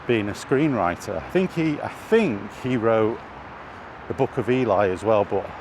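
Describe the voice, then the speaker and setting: Low-voiced British man outdoors, traffic noise. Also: expressive, a little slow. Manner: hesitant, thinking aloud. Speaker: vlogger. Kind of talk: rambling casual commentary. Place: outdoors while walking in an urban area. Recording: noisy outdoor recording with traffic. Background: clear street and vehicle noise.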